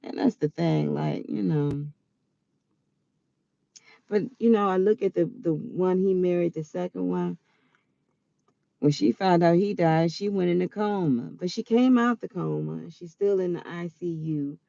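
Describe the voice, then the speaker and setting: Woman around forty, gravelly voice, chatty storytelling, dry close sound, muffled recording. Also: lowish voice, dry recording. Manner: chatty, animated, quick to laugh. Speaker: vlogger. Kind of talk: casual personal storytelling.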